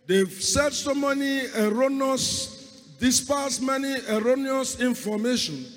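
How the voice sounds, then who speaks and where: A hoarse man preaches a sermon in a church hall, and his strained voice sits high. He speaks with fervor and calls on God again and again. His words ring out with a long reverb around the room. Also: slow pace, soft background music. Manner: fervent, repeats calls to God. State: hoarse, lost voice. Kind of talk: sermon with opening prayer.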